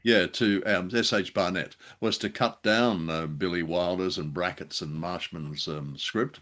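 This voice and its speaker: Low-voiced man in measured discussion. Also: a bit bright. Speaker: podcast co-host reviewing old radio drama. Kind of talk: conversational critical discussion.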